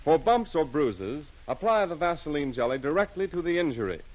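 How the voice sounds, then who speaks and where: A man announcing on old-time radio in a formal, measured, emphatic sponsor style. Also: fairly high pitch, big pitch swings. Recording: dull lo-fi old radio recording.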